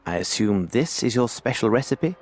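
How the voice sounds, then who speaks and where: An actor playing a self-assured, inquisitive British gentleman detective in a video game, with formal, precise speech. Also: mid-range voice, lively intonation, moderate pace, slight background noise, close to an everyday voice. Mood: coolly probing.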